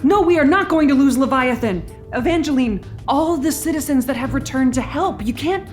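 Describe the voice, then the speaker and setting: An actress playing a headstrong, emotional young heroine in an audio drama, speaking with urgency. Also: female voice, high voice, animated, normal speed, faint music behind. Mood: desperate, pleading.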